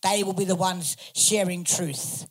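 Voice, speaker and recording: Aussie panel speaker, loud and blunt, swears, lots of slang. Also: medium pitch, steady tone, unhurried. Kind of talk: speaking at a community forum.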